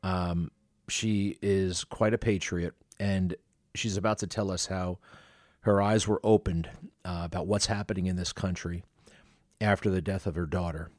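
A man with a low voice hosts an interview, firing off quick questions that stumble through fillers and restarts. The sound is dry and close, with no room around it. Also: steady tone, darkish tone, no echo. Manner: rapid, halting questions with fillers. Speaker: interview host. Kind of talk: podcast interview.

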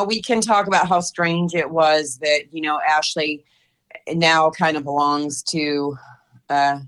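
A woman's voice: low-pitched, a bit bright, poor audio. A woman in her fifties answering interview questions, thoughtful and hesitant with many fillers. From United States. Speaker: interview guest.

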